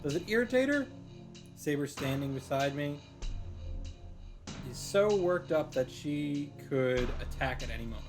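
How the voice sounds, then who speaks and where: A man's voice: fairly high pitch, animated, a little slow, pauses often. A man reading visual novel narration aloud as the host of a read-through, with casual reactions. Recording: music behind the voice. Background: game music.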